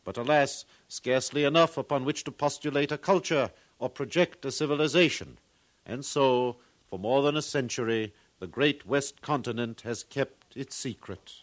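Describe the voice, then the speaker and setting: Man acting proud scholarly curator, medium pitch, courtly, formal, professorial, 1950s radio play. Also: moderate pace, lightly acted. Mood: scholarly, pleased.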